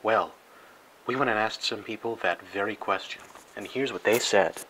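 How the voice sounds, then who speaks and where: A man narrating a parody educational film in a mock-serious, grandiose and deadpan way. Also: lowish voice, normal speed, background noise. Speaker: narrator and host.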